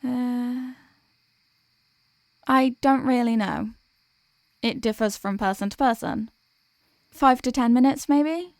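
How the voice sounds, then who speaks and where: A woman's voice: fairly high pitch, takes it slowly, pauses often, a little mellow, no echo, studio quality. A woman playing a teasing sleep paralysis demon who can be menacing or apologetic and tender, in an intimate ASMR audio roleplay. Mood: flustered, apologetic. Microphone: close microphone, dry.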